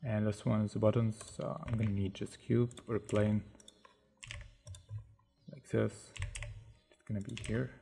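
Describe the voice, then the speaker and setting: Low gravelly man talking through a tutorial. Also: little pitch movement, a little hiss or hum. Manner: thinks aloud, halting, low-key. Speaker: tutorial host. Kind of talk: casual screen-recorded modeling tutorial. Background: soft background music.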